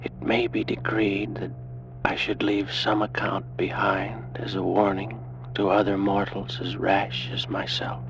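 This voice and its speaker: An actor playing an ancient Egyptian priest, lovelorn and menacing, speaking slowly and solemnly in an old-time radio drama. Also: male voice, on the low side, flat delivery, gravelly, a bit bright, slight background noise. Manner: slow, solemn, murmuring delivery. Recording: muffled old radio recording with music.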